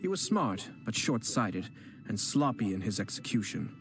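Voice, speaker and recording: A calm, cunning young noble. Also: male voice, fairly low pitch. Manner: measured, poised and courteous. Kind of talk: video game voice acting. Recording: game dialogue with music underneath.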